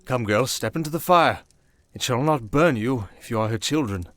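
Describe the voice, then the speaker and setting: A man voicing a kindly, wry old high priest in a fantasy audio roleplay, with fatherly, ceremonious, unhurried phrasing. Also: animated, a bit bright, no echo, natural voice. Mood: amused, fatherly. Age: older.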